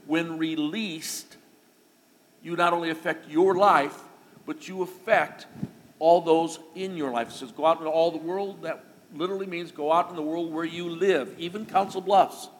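Middle-aged male pastor, slightly high voice, conversational sermon, recorded in a reverberant church hall. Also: steady tone, normal speed. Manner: conversational, folksy with asides and jokes. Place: church sanctuary. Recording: long reverb of a church hall, faint noise.

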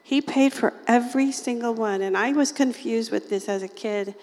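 A woman with a slightly raspy voice giving an informal, chatty sermon to a small group, folksy and often laughing. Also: a little high, moderate pace, a little mellow, big-room sound. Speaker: lay preacher.

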